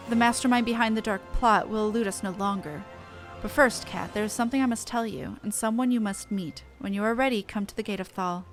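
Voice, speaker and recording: A woman's voice: medium pitch, fairly bright tone, close to an everyday voice. Woman reading as an earnest, enigmatic female ally. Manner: measured, formal. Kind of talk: reading game dialogue aloud.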